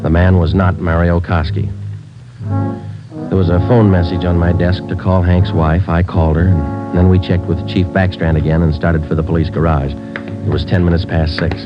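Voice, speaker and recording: A man playing a terse, deep-voiced police sergeant. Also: normal speed, over music, close to an everyday voice. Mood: grim narration. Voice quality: clipped, flat delivery. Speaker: police detective sergeant and narrator. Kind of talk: 1950s radio drama acting. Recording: muffled old radio broadcast.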